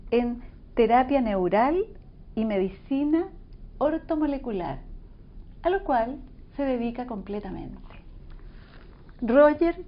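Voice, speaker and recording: A woman's voice: high voice, lo-fi sound. A woman hosting a radio interview, often echoing her guest with short acknowledgements. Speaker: host and interviewer.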